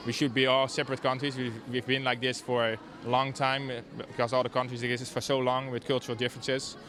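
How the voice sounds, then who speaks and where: A man's voice: mid-range voice, little pitch movement, fast, a bit bright, slight background noise. A man in his twenties from the Netherlands, giving his opinions hesitantly in a street interview. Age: 20s. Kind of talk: casual interview answer.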